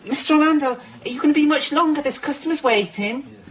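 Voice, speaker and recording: A man's voice: extremely high pitch, a little fast, highs cut off. An actor playing a camp, sulky young male salon assistant with a British accent and a petulant, sarcastic manner in a radio drama. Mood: tentative, polite.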